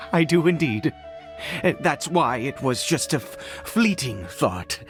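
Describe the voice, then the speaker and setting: A man's voice: mid-range voice, sing-song, takes it slowly, fairly bright tone, full character voice. A man voicing a weary, anxious, self-doubting ageing father in a fantasy video game, speaking hesitantly with stammers. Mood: weary, melancholy. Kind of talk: dramatic video game voice acting. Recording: background music under the voice.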